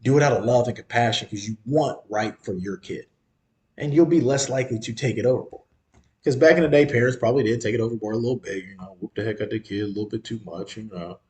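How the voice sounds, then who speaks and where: A man's voice: on the low side, fast, a little mellow. A man in his thirties hosting a podcast, talking in a casual, slangy and emphatic way and often repeating himself. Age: 30s. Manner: conversational, emphatic and repetitive, slangy. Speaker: podcast host. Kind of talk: casual monologue.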